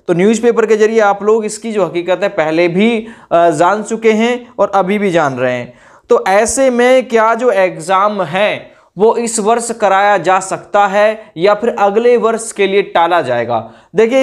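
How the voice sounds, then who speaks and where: A man gives an informal update to viewers in a conversational, explanatory way. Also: high-pitched. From India. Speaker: YouTube host giving recruitment exam updates.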